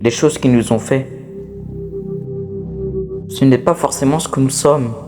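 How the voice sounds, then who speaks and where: A man voicing an anxious, reluctant toy creature with a halting delivery, in an amateur horror game dub. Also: medium pitch, music in the background, lightly acted. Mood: weary, reassuring. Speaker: amateur voice dubber.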